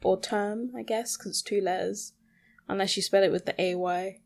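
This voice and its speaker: A woman's voice: medium pitch, fairly even intonation, moderate pace, vocal fry, darkish tone. A woman rambling casually as a solo podcast host, with a self-deprecating, mildly sweary way of talking.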